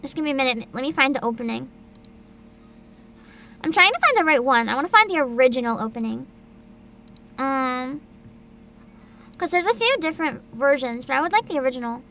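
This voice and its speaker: A woman hosting a livestream, chatting casually with viewers in an excitable, bubbly, giggly manner. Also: high voice, brisk, pauses often, slight background noise. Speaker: livestreamer host.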